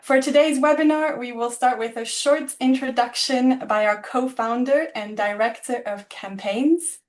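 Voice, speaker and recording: A woman hosting an online webinar on a video call, introducing the speakers in a friendly, slightly halting way and laughing at her own slips. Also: a little high. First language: not German. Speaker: webinar host.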